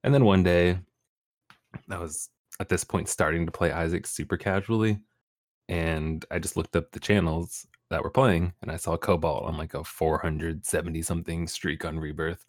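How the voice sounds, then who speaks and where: A man's voice: deep voice, fairly even intonation, moderate pace, no room sound, clean studio sound. A young man, a streamer guest on a podcast, talking casually with easy laughs and rambling restarts. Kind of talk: casual interview chat.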